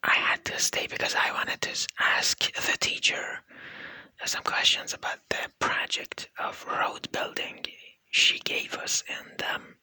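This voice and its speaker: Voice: measured pace, no room sound. A young university student who speaks English as a non-native language, whispering a rambling, laid-back ASMR diary vlog close to the mic. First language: not English; Persian is a second language. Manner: soft unvoiced whisper, rambling and laid-back with many fillers.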